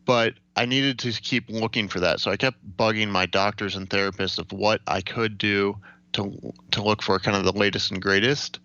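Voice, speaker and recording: An American man in his late twenties giving a measured, matter-of-fact account as an interview guest. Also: lowish voice, steady tone, pushed and bright, no echo, dull audio. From Ohio, United States. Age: late 20s. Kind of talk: recounting personal experience in an interview.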